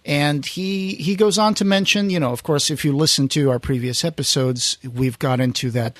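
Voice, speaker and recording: Higher-voiced man chatting on a podcast. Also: normal speed, no room sound. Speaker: podcast host. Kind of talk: casual podcast chat recounting film history.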